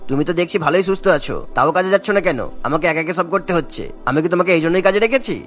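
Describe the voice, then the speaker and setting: A man voicing a gruff, scornful shop owner in a cartoon, scolding and sarcastic as he talks. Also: sits high, very fast, fairly bright tone, faint music behind. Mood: angry, scornful. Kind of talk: cartoon voice acting.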